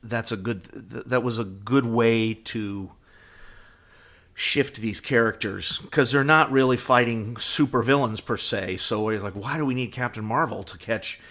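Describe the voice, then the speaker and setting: A man co-hosting a podcast in casual fan discussion, halting and often repeating words as he thinks. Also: mid-range voice, a bit bright. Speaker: podcast co-host.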